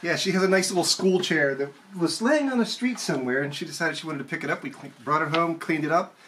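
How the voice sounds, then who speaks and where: A man's voice: a little high, fast talker, a bit bright, a little hiss or hum. A man who chats casually and reads his own poem at an open mic. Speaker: poet. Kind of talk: casual chat and poem reading.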